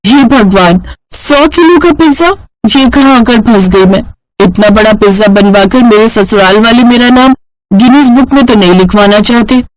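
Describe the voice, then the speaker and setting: A woman's voice: fairly high pitch, normal speed, no room sound, natural voice, rough recording. A woman voicing an exasperated young newlywed bride in a cartoon, whining and incredulous. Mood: dismayed.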